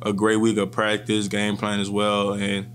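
A young man in his twenties answers post-game questions at a press table. His voice sits fairly low and stays flat, and he keeps it calm and measured with frequent restarts. A faint hum runs under the table microphones. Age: 20s. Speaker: college football player. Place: press room in front of a sponsor backdrop. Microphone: table microphones in front of him.

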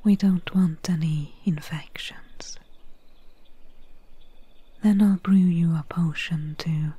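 A woman acts out a swamp witch healer in an intimate ASMR roleplay. Her hushed voice is extremely breathy and mellow, on the low side. She is calm and soothing, with a wry, mercenary streak. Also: no room sound, heavily acted. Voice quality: breathy, whispery. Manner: slow, unhurried, hushed, soothing. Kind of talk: soft-spoken ASMR roleplay. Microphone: close microphone.

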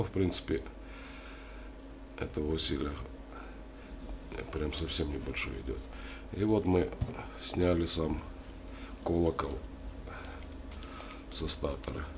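A man with a cold giving a casual hands-on tutorial, halting and thinking aloud as he works. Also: deep voice, darkish tone. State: has a cold. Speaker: DIY tutorial host. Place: indoors at a wooden worktable. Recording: clearly noisy home recording.

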